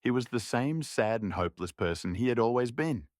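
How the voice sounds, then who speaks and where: A man's voice: mid-range voice, expressive, brisk, dry recording, clean studio sound. A man reading a scripted folk horror story as a narrator.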